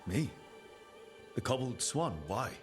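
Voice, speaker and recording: An actor playing an earnest, determined fantasy hero in a video game, plain-spoken and purposeful. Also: male voice, medium pitch, expressive, slow pace. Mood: questioning. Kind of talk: dramatic video game acting. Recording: music behind the voice.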